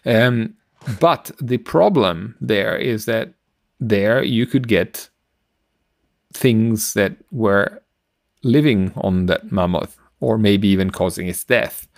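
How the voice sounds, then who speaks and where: Man, middle-aged, gravelly, close dry sound, hesitant delivery, informal science podcast. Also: lowish voice, dry recording. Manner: halting, searching for words. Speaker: podcast co-host explaining polar science.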